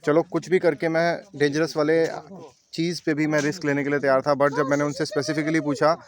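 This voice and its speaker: A man's voice: fairly high pitch, little pitch movement, brisk, crowd noise. A man from India narrating a travel vlog outdoors on a mountain trail, talking straight to his viewers in a chatty way while filming on a handheld camera. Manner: chatty, talks directly to viewers. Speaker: travel vlogger.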